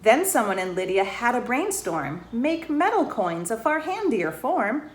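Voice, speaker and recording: A woman reading a rhyming children's storybook aloud in an expressive way, pausing to put questions to the young listeners. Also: on the high side. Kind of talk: children's storybook read-aloud, rhymed verse with asides to listeners. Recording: roomy echo, faint background noise.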